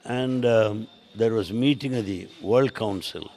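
An older man with an Indian accent, Telugu-born, speaking in a lowish, measured voice. He answers questions slowly, with small pauses and an occasional "uh". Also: dark tone. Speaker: interviewee, translator. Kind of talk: interview answers in English.

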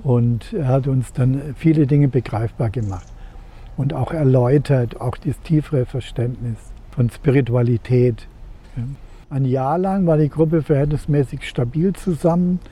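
A man in his seventies from Germany, recalling his life in an interview in a measured, reflective way, searching for words. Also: mid-range voice, mellow, husky. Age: 70s. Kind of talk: reflective personal recollection in an interview.

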